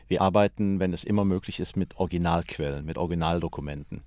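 Deep-voiced male journalist, dry sound. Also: quick pace, darkish tone, no echo. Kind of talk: explanatory commentary.

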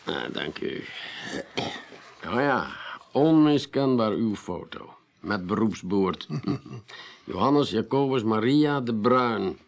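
An actor playing a wry, unhurried investigator in a radio play, a gravelly voice and a dry, musing manner. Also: male voice, fairly low pitch, animated, full character voice. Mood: polite, probing. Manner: dry, musing, punctuated with hums. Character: wry, unhurried male investigator questioning a witness. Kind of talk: 1980s radio play acting.

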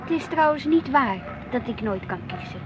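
An actress playing a middle-aged housewife in a radio drama, teasing and gently assertive, questioning and mildly protesting. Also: female voice, sits high, animated, moderate pace. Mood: wistful, assertive. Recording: dull lo-fi radio play, faint noise.

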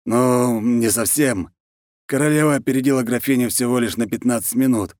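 A man's voice: mid-range voice, moderate pace, no echo, pitched above the performer's own voice, natural voice. A man voicing a sly, teasing wolf servant. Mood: wry. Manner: dry, teasing, calm. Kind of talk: audiobook character voice.

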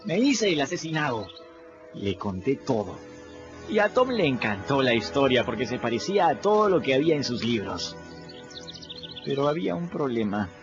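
An actor with a Peruvian Spanish accent playing a quick-witted young boy who tells his own story in a folksy first-person manner in a radio drama. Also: medium pitch, sing-song, over music, lightly acted. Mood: storytelling. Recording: dull lo-fi radio recording.

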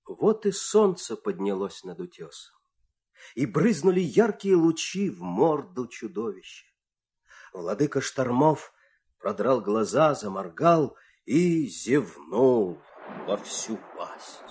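A man's voice: mid-range voice, very animated, slow, a little mellow. A man narrating a fairy tale for an audio play in a theatrical, suspenseful storytelling manner.

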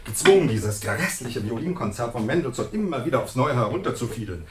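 An actor playing a brilliant, cheerfully superior gentleman detective in a German radio play, eloquent and suave with a wry condescension. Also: medium pitch, big pitch swings, a little fast, a crowd in the background, lightly acted.

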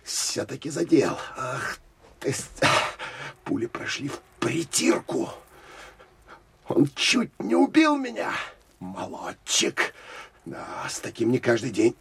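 An actor playing a hardened, sardonic counterintelligence officer who tells of an armed pursuit in an intense, expressive first-person audio drama. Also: male voice, mid-range voice, slow pace, vocal fry, long pauses, slightly rough, heavily acted. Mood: strained, cunning. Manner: intense, expressive storytelling with wry asides.

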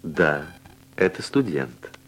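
A man reading language-course dialogues and word drills with slow, deliberate, carefully enunciated speech. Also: rumbling low, very animated, soft background music, rough recording. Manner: clear, deliberate articulation in short answers.

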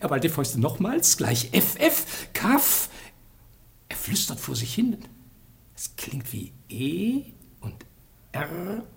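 An actor playing a sly, bumbling minister, clowning furtively in a half-whisper in a comic radio play. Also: male voice, a little high, huge pitch swings, slow, gravelly, long pauses, exaggerated voice. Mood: scheming, puzzled.

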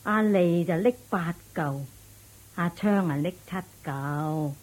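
A practical widowed mother who frets and scolds in a Buddhist radio drama. Her Hong Kong Cantonese is slow and dark, with a husky edge. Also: lowish voice, rough recording. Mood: practical, firm. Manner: sighing, fretful, scolding at interruptions. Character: anxious, practical widowed mother of two grown sons.

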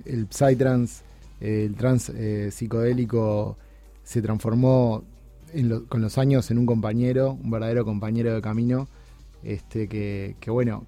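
Un hombre uruguayo de treinta y tantos, invitado en una radio, habla con muchas pausas, titubeos y repeticiones. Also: male voice, mid-range voice, dark tone, no echo. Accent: Uruguayan Spanish. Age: 30s. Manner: halting, many restarts and fillers. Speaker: guest. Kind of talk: casual interview chat. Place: radio studio.

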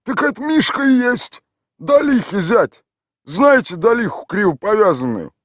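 A man's voice: high voice, very animated, a little slow, no echo, clean studio sound, pitched above the performer's own voice, full character voice. A man doing a brash crude village lout. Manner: loud, brash, mocking.

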